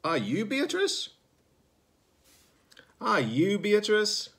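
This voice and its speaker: Guy doing a little storybook robot kid, innocent and curious, pitched up, earnest questions. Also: huge pitch swings, drawn-out words, fairly bright tone, heavily acted. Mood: eager, questioning.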